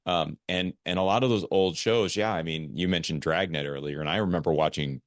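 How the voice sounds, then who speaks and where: A man's voice: sits low, fairly quick, no echo, clean studio sound. An American man hosting a podcast, chatting casually with his guest. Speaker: podcast host. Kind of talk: casual interview chat.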